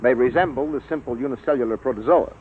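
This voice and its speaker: An actor playing a wary, precise military scientist in 1950s radio drama style, on a muffled old broadcast recording. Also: male voice, mid-range voice, fast talker, slight background noise, lightly acted. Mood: grave, explaining.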